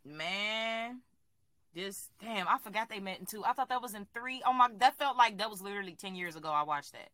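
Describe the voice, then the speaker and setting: Woman cohost, super bright sharp voice, dry close sound, loud and emphatic, cusses a lot. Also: mid-range voice, fairly quick, no echo. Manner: animated, emphatic, swears freely. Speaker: podcast co-host. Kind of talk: casual chat.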